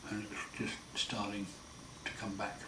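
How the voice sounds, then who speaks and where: A man with a croaky, hoarse voice after throat surgery, talking slowly and wryly in a casual home video diary. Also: lowish voice, monotone. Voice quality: hoarse, partly breathy. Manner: slow, wry, plain-spoken, often pausing. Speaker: patient sharing his recovery. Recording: roomy echo, far mic, noisy.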